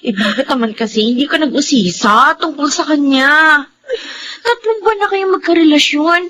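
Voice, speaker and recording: Woman playing a very high-pitched, reproachful confidante. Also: moderate pace, sharp tone. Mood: worried, reproachful. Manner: reproachful, exclamatory. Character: frank, alarmed female confidante of a troubled woman. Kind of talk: Filipino audio melodrama acting. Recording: faint background noise.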